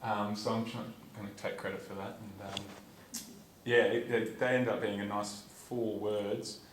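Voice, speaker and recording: A man's voice: lowish voice, little pitch movement, moderate pace. A young man from Perth with an Australian accent, giving an informal, rambling talk to a conference audience in a lecture theatre, with many ums and restarts. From Perth, Western Australia. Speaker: student speaker at a conference. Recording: echoey hall, distant, noisy.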